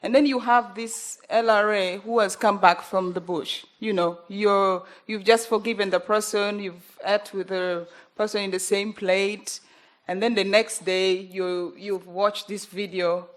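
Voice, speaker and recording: Earnest Ugandan woman, halting speech. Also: medium pitch, steady tone. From northern Uganda. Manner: earnest, halting, many fillers. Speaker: journalist panelist. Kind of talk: panel talk.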